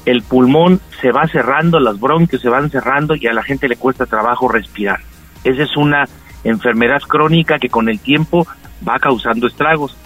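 A man's voice: mid-range voice, poor audio. Male doctor, radio guest, patient explainer, faint music under. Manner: calm explanatory, with fillers. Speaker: doctor giving health advice. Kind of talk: radio health segment guest.

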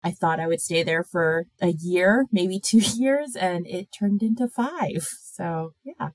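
A woman's voice: fairly low pitch, takes it slowly, dry recording. An American woman in her thirties, a podcast guest, telling her story in a reflective way with light laughs. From United States. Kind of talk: casual podcast conversation.

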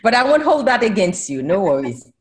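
A woman's voice: medium pitch, very animated, fairly quick, a little hiss or hum. A woman in her forties, born in Haiti, speaking as a professor guest on a casual podcast, who laughs often and corrects herself as she goes.

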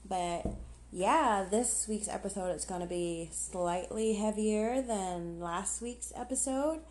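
A woman's voice: medium pitch, takes it slowly, darkish tone, low-quality recording. A young woman chatting casually and candidly on a solo podcast, with an informal manner. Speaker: podcast host. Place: outdoors on a patio.

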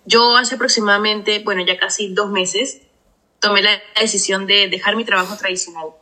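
A woman's voice: mid-range voice, steady tone, moderate pace, sharp tone, low-quality recording. A woman in her twenties, polite and enthusiastic, asking for advice on a group call. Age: 20s. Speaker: caller asking a question.

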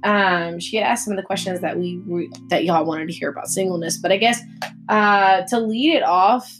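A woman, co-hosting a faith podcast in casual chat, earnest and halting with many restarts. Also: mid-range voice, faint music behind. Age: 20s. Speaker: podcast co-host.

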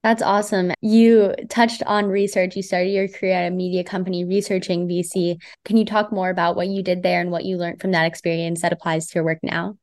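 A woman hosting a podcast interview, asking friendly questions in a steady tone. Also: fairly low pitch, brisk, hardly any pauses. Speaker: podcast host.

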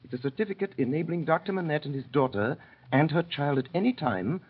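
A man playing a resolute, self-sacrificing English gentleman in an old radio drama, husky and urgently commanding. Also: on the high side, lively intonation, a little fast. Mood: urgent, controlled. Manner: urgent, commanding, clipped phrases. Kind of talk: 1930s-40s radio drama acting. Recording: dull, muffled old radio recording.

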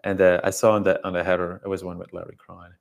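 Man, low voice, English, talkative, emphatic, long explanations, casual interview guest, dog coach. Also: monotone, fast, gravelly, dull audio. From Belgium. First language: not English. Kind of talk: casual podcast conversation.

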